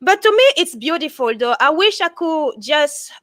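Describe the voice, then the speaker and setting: French-speaking woman on a video call, high bright voice, dry close sound, lots of laughing. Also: normal speed, no echo. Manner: animated, laughs often. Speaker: tarot reader guest. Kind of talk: casual live chat.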